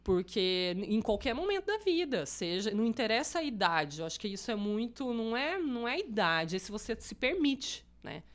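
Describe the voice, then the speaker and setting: A woman's voice: on the high side, very animated, fairly quick, hardly any pauses. Reflective woman thinking aloud. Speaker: guest. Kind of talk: casual podcast conversation.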